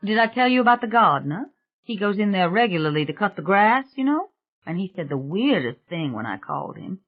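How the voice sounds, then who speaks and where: A woman's voice: mid-range voice, sing-song, brisk, lo-fi sound, pitched above the performer's own voice. A woman voicing a chatty, gossipy young female reporter in an audiobook, confiding and conversational.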